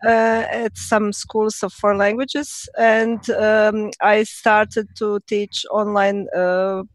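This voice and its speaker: A woman from Croatia, a native Croatian speaker, introducing herself in halting English as a German teacher on a panel. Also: mid-range voice, level tone, dry recording. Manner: halting, self-correcting.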